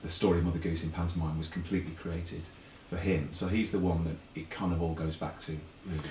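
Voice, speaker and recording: A man's voice: low-pitched, little pitch movement, fast talker, a little mellow. A British man talking casually as a chat show guest, explaining things in a chatty way and often restarting his phrases. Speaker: interview guest, pantomime writer and performer. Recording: echoey room, distant mic, faint background noise.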